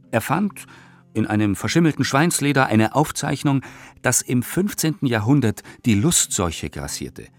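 A male actor playing an indignant, pompous 1890s newspaper critic in a radio play, declaiming with scorn. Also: on the low side. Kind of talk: dramatic reading of an 1895 newspaper report in a radio play.

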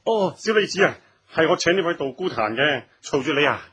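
Obsequious palace eunuch, Cantonese radio drama: higher-pitched, sharp, husky, eager to please. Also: male voice, lively intonation, fairly quick, exaggerated voice. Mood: fawning, anxious.

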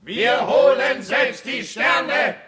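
A man acting a rowdy suitor in a radio play, shouting out drawn-out, sing-song slogans. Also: extremely high pitch, exaggerated voice. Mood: rousing, chanting. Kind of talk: drawn-out sung slogan chanting. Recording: crowd of voices chanting along.

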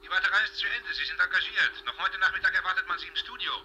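Sharp, high-voiced male agent. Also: very fast. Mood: upbeat. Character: eager male talent agent bringing good news. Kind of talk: radio drama acting. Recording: telephone call with faint noise.

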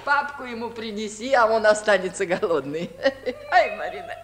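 A woman's voice: medium pitch, animated, normal speed, slight background noise, close to an everyday voice. An actress playing an old village grandmother who scolds, laments and dotes with fussy exclamations, in 1950s radio comedy style. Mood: doting, fussing, then fond scolding. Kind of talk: Soviet 1950s radio musical comedy acting. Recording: 1950s Soviet radio recording.

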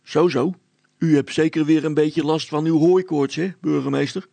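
A man's voice: a little high, fairly even intonation, moderate pace, vocal fry, dark tone, dry recording, voice pushed up. A man voicing a plodding, sceptical village policeman in a story, plain-spoken, mocking and grumbling. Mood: deferential.

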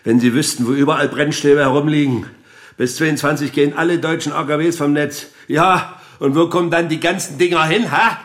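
An actor playing a bitter, ailing suspect in a radio drama, aggrieved and evasive under questioning. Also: male voice, fairly high pitch, fairly even intonation, fairly quick, fairly bright tone, lightly acted. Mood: scornful.

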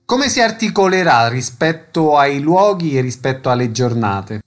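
A man's voice: mid-range voice, big pitch swings, normal speed. A man working as a radio interviewer, putting questions and reading out event details.

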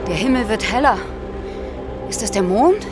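An actress plays a determined, impatient woman in a radio thriller. Her voice is high, with clear music behind it. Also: animated, normal speed, natural voice. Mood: puzzled. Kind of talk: German radio crime drama acting.

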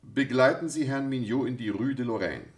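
A man's voice: medium pitch, a little fast, lightly acted. A man acting as a brusque, commanding police prefect in a German radio play, questioning with dry irony. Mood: dryly ironic. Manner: commanding, probing, dryly ironic. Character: brusque, sardonic male police prefect leading an interrogation.

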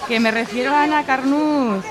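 A woman in her thirties with an Aragonese accent, playing a calm, teasing traveller searching for a relative. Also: high voice, normal speed, crowd noise, close to an everyday voice. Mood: determined. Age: 30s. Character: mysterious young woman traveller seeking a relative. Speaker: character in a radio serial.

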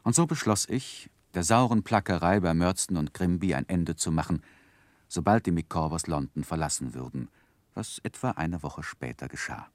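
An actor playing an earnest grown man who narrates his boyhood memoir in measured, reflective literary style for a 1960s radio drama. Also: low voice, expressive, dry recording.

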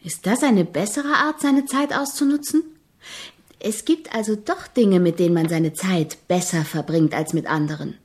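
An actress playing an earnest, persistent young composition student in a German radio drama, questioning politely but insistently. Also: female voice, lowish voice, normal speed, close to an everyday voice.

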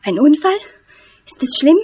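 A woman's voice: high voice, sing-song, moderate pace, darkish tone. A woman playing an anxious, trusting young woman in a German horror audio drama. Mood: alarmed. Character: anxious, trusting young daughter of worried parents. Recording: dull, noisy audio-drama tape.

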